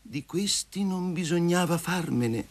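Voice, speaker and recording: A man voicing a weary, stubborn woman in a story, speaking quietly and deliberately as she leaves her lover. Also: sits high, pitched above the performer's own voice. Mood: cool, resolute.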